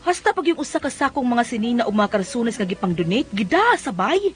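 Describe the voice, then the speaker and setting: A nagging Cebuano wife, high in pitch and exclamatory. She scolds in exasperation in a radio comedy, over faint music. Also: sing-song, brisk. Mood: exasperated. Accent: Cebuano Filipino. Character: nagging, exasperated wife who is easily tempted herself. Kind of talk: comedic radio drama acting.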